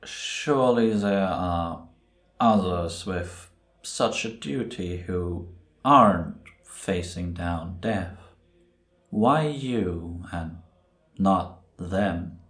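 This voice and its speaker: A man playing Death as a grave, gentle reaper who speaks in a measured, deliberate way in an audio roleplay. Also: deep voice, heavily acted. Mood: solemn, probing. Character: solemn, gentle male Death, a reaper who was once human. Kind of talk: cinematic audio roleplay acting. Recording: roomy, reverberant sound.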